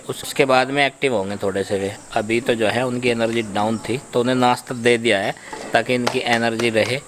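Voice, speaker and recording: A man's voice: medium pitch, background noise. A man chatting casually and joking about his day plans at home outdoors. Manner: relaxed, joking, talks softly at times. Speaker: family vlogger. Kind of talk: casual chat.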